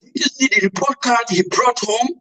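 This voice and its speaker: A man's voice: very high voice, fairly quick, pushed and bright, exaggerated voice. A man with a Nigerian accent playing an angry, domineering father who scolds and badgers in a radio drama. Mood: angry scolding. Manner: scolding, badgering with repeated questions. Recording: off-mic, distant voice.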